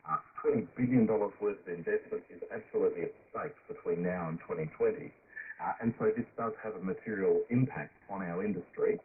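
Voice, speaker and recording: A man speaks emphatically in an interview as an industry executive. His pitch is on the low side. He comes through thin, phone-like shortwave radio with light noise. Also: fairly quick. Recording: noisy narrowband shortwave radio.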